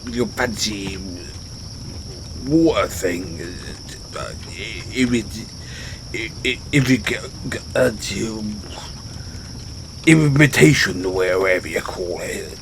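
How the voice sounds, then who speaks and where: A man playing a gruff but good-hearted orc barbarian in a fantasy audio roleplay, bashful and loyal, stumbling over his words in halting speech. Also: lowish voice, lively intonation, noisy background, exaggerated voice. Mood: admiring, fumbling. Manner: halting, fumbling speech with sheepish stammers. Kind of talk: fantasy audio roleplay, intimate one-sided dialogue. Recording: outdoor night ambience with crickets behind.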